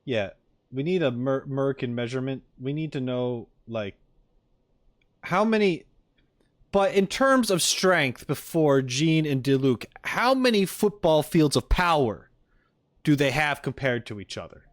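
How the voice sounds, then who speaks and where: American guy streamer, mid pitched, rambly jokey chat, dry recording. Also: lively intonation, unhurried, no room sound. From United States. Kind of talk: casual chat, live reaction stream.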